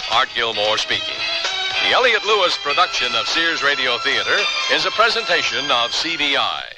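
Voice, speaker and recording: A male radio theater announcer reads scripted credits over loud music. His voice sits a bit high for a man and moves with lively, presentational inflection. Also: rough recording.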